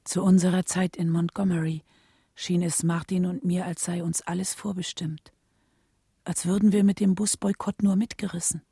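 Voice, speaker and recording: An actress playing a reflective widow of a civil rights leader, narrating memories softly in a radio drama with a husky voice. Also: female voice, lowish voice, little pitch movement, moderate pace, no echo, studio quality. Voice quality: husky, soft.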